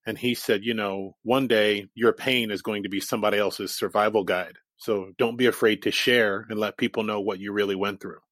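A man's voice: on the low side, steady tone, normal speed, few pauses, a bit bright, no echo. A man in his forties, a native Japanese speaker, a guest in an interview who tells his life story candidly and laughs easily.

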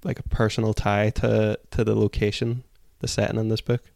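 A man's voice: fairly low pitch, level tone, fairly quick, vocal fry, darkish tone, dry recording, studio quality. A man with a Derry, Northern Irish accent, co-hosting a book podcast in casual conversation. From Derry, Northern Ireland. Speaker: podcast co-host. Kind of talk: casual book club discussion.

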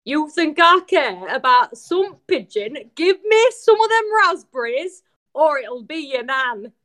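A woman's voice: sits high, very animated, measured pace, a bit bright, heavily acted. A woman voicing a brash, threatening bully with a northern English accent, blunt and demanding, in improv comedy. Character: brash, bigoted, threatening apple-grabbing Keith.

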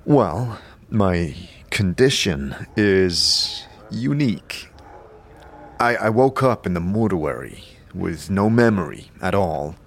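Man playing a hesitant, low-key undead wanderer. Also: lively intonation, takes it slowly, full character voice. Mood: hesitant, earnest. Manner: hesitant, understated. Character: amnesiac undead male wanderer, hesitant, dry, earnest. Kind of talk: fantasy audio drama dialogue. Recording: faint background noise and ambience.

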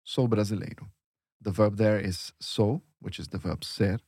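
A man's voice: fairly low pitch, normal speed, mellow, breathy edge, no room sound, clean studio sound. A man teaching Portuguese in a lesson dialogue, explaining patiently step by step with examples.

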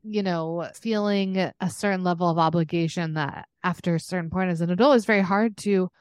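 An American woman in her thirties chatting casually as a podcast co-host, rambling and quick to laugh. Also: fairly low pitch, clear, resonant tone, dry recording, clean studio sound. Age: 30s. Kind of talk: casual chat.

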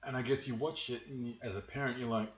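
An Australian man in his forties hosting a casual podcast chat, relaxed and asking questions. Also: medium pitch, quick pace. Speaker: podcast host. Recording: roomy dull-sounding recording.

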